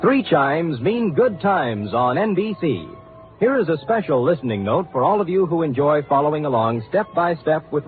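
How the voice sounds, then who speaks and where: Male 1950s radio announcer; highish, lively pitch, formal promotional delivery, narrowband sound. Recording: tinny old radio, faint music.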